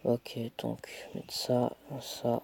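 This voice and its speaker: A man's voice: medium pitch, monotone, very slow, creaky, a little mellow. Young guy with a cold, chatty gaming commentary. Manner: chatty, self-mocking, mutters while playing. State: stuffy with a cold. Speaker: gamer commentating his own play. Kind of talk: casual gameplay commentary.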